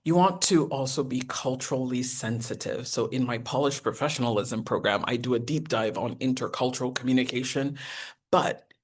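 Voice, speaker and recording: A woman, teaching a professional etiquette masterclass in an earnest, encouraging way with deliberate enunciation. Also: husky. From United States. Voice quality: deep voice. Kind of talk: masterclass lecture.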